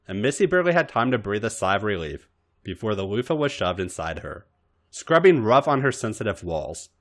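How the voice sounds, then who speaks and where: A man reading fan fiction aloud as an expressive podcast narrator. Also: fairly low pitch. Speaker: podcast host reading a story.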